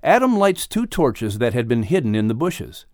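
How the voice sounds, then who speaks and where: Animated male audiobook narrator. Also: mid-range voice, moderate pace, no echo, studio quality. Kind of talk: expressive scripted narration.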